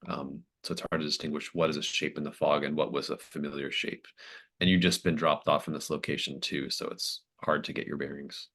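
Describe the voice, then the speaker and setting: A game master with an extremely low voice narrates a tabletop roleplaying scene. The descriptions are improvised and thoughtful, arriving in halting phrases as each image is put together. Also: little pitch movement, fairly bright tone.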